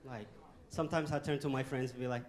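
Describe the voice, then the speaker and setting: A man from Ethiopia, giving a joking, animated motivational talk to a youth audience in a conference hall and throwing questions at the crowd. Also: medium pitch, fast talker, a little hiss or hum. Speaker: guest speaker.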